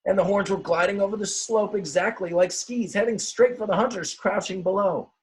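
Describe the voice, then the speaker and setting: A man reading a children's storybook aloud in a dramatic, expressive way, with simple explanatory asides for young listeners. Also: sits high, fairly quick, slightly rough, poor audio. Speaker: teacher reading a picture book aloud.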